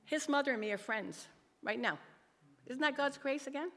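A woman's voice: sits high, moderate pace, a bit bright. Big-voiced woman testifying in a reverberant hall. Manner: big, emphatic voice; earnest, rambling storytelling. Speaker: lay ministry leader giving testimony. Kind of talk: personal testimony at a church gathering. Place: large reverberant room, speaking to an audience.

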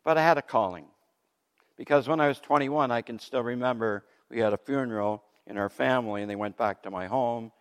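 An older man telling his faith story from the pulpit in a loud, lively way, laughing between points. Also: mid-range voice, a little fast, smooth. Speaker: guest speaker giving testimony. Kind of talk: testimony sermon. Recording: long hall reverb.

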